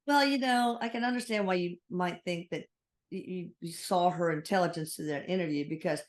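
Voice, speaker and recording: A woman's voice: lowish voice, a little fast. A woman host with a Texas accent, asking questions in a chatty, opinionated interview. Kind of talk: casual interview.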